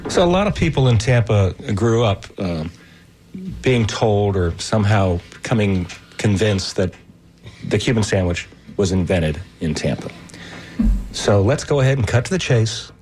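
Male host, lowish voice, lively pitch, wry questions. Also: normal speed, soft background music. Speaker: radio show host. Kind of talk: interview hosting.

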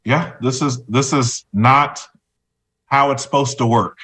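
A man's voice: medium pitch, fairly even intonation, takes it slowly, a bit bright, husky. A man speaking as a guest on talk radio, giving conversational political commentary. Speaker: radio show guest, former political candidate.

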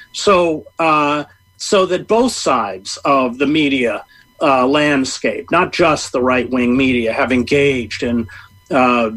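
A middle-aged man giving long, measured interview answers, full of hesitations and fillers. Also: on the high side, fairly bright tone, slightly rough. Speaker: interview guest, journalist. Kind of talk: interview answer, political commentary.

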